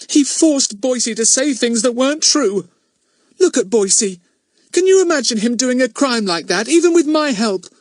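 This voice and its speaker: Man voicing a high, distraught young woman. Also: no echo, full character voice. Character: distraught, indignant young woman defending her friend.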